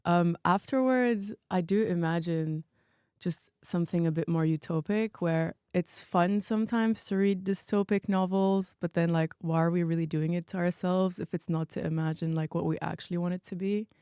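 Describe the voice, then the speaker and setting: A woman's voice: on the low side, normal speed, dark tone, clear, resonant tone, dry recording, clean studio sound. A woman from Bahrain speaking as a podcast guest in a casual round-table discussion. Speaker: podcast guest, product manager.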